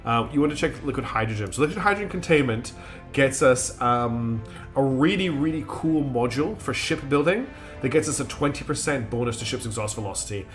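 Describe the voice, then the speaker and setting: A man's voice: medium pitch, expressive, a little fast, faint music behind. A man streaming a strategy game live. He thinks aloud in a chatty way, with many ums and restarts, and his nose is slightly blocked.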